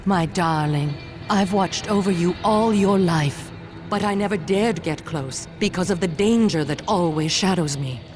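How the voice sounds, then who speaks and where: A commanding witch, solemn and persuasive, with a somewhat low, steady woman's voice, as an actress plays her. She speaks gravely over loud cinematic music in a game cutscene. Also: measured pace, lightly acted. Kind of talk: video game cutscene acting.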